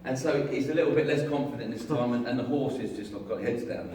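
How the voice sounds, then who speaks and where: A man preaching a lively, jokey sermon, telling a story with voices and getting the congregation to join in. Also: mid-range voice, quick pace, a little hiss or hum. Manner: jokey, animated storytelling with audience participation. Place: large hall with an audience. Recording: echoey hall, far from mic, crowd.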